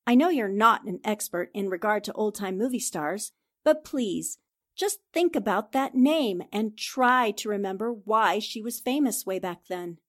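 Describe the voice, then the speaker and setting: A woman playing a sharp, confident newspaper reporter in a 1940s-style radio drama, speaking in an assertive, accusing way. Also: medium pitch, expressive, a little slow.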